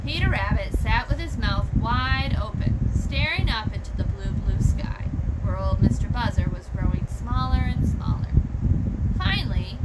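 Female children's storyteller, animated and fairly high, expressive read, heavy noise on a home recording. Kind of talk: reading a children's storybook aloud. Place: small room at home, indoors.

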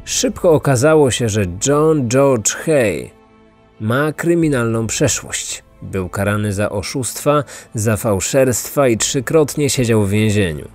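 A man's voice: medium pitch, lively intonation, slow pace, darkish tone. Man narrating true crime over music. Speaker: podcast narrator.

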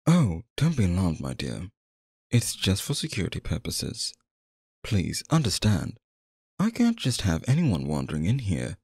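A courtly, obsessive male stage magician, acted out by a man for an ASMR roleplay. His voice sits fairly low and moves with animated, theatrical swings. He flatters and coaxes the listener in genteel, flowery phrases, recorded close and dry. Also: measured pace, husky, no room sound, heavily acted. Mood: suave, hospitable.

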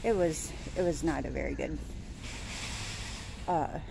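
A woman's voice: deep voice, unhurried, creaky. A woman in her thirties chatting casually on a walk-and-talk vlog, rambling with lots of fillers and restarts, filmed on a phone at arm's length outside a store. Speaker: vlogger. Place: outdoors by a store, daytime. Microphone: phone held at arm's length. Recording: outdoor phone recording with traffic noise.

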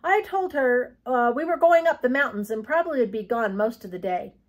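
A woman reader acts out a brash, grumbling storybook boy. The voice is pitched up, slightly husky and swinging widely, with a blunt, dramatic delivery. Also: expressive, a little fast.

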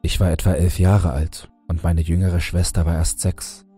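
A man reading a scripted horror audiobook in a measured, dramatic storytelling manner. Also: deep voice, level tone, dark tone, slightly rough. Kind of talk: scripted creepypasta audiobook narration. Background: background music.

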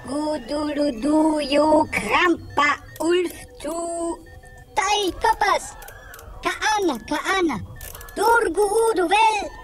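A woman playing a timid, frightened jungle tribe native in a sci-fi radio play, speaking haltingly and fearfully. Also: high-pitched, soft background music. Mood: pleading, alarmed. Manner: slow, halting, fearful, chanting foreign-sounding words. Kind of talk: 1980s German children's sci-fi radio play acting.

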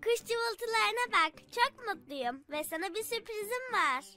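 A woman voicing a tiny, joyful guardian fairy in a children's cartoon, excited and sing-song. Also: very high-pitched, unhurried, full character voice. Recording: music bed behind the voice.

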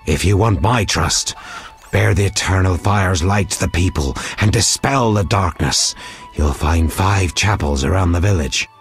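A zealous old fire priest in a fantasy video game. The voice is low and solemn, preaching with stern scorn. Soft music plays underneath. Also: male voice, measured pace. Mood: curt, wary.

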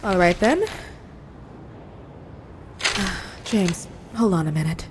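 A poised, wry secretary in an audio drama. Her voice is on the low side, polite and teasing. Clear background noise sits behind her. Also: takes it slowly. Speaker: actor.

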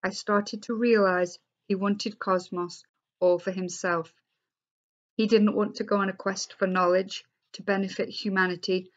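Woman reader as thoughtful male scientist, gentle and earnest, storybook. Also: medium pitch, flat delivery, unhurried, highs cut off, natural voice.

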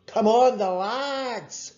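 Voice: medium pitch, huge pitch swings, slow, highs cut off, pitched above the performer's own voice. A narrator voicing an eager schoolboy, a friend in a children's story. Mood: roaring. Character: Angus, eager boy friend of Rory.

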